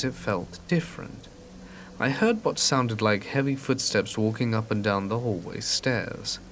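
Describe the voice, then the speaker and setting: A man reads true scary stories in a steady, measured storyteller's delivery. His voice sits low, and he sometimes drops to a hushed near-whisper. Faint rain patters in the background of the recording. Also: slight background noise. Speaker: narrator of submitted stories. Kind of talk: calm scripted horror narration. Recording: faint rain ambience behind.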